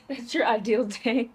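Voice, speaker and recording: A young woman vlogging in a chatty, casual way, her voice raspy from a sore throat, laughing often and trailing off with fillers. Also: fairly high pitch, a little mellow. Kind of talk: casual vlog chat.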